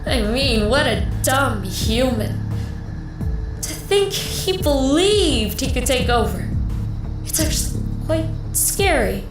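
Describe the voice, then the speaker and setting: An actress playing a gleefully sadistic female torturer in an audio drama, mockingly sweet and playful, with drawn-out, gloating delivery. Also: high voice, sing-song, full character voice. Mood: mocking amusement. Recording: echoey, music underneath. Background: music.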